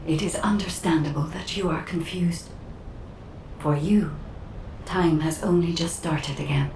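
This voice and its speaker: An actress playing a serene, gently instructive AI guide in a video game, soft, measured and formal. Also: female voice. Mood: calm, reassuring. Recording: echoey, distant, faint rumble behind.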